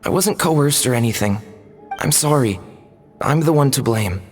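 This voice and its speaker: A man's voice: mid-range voice, animated, normal speed, natural voice. Insecure, apologetic young game character man acting, nervous pleading delivery in a reverberant space with music. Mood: remorseful. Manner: stammering, pleading. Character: timid, remorseful young man, anxious and insecure.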